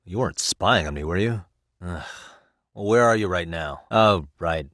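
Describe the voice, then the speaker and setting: A man's voice: deep voice, moderate pace, a bit bright, no echo. A man with an American accent voicing an easygoing, principled detective in a video game, talking in a dry, wry way as he reasons things through. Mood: casual, wry. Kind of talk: video game voice acting, conversational dialogue.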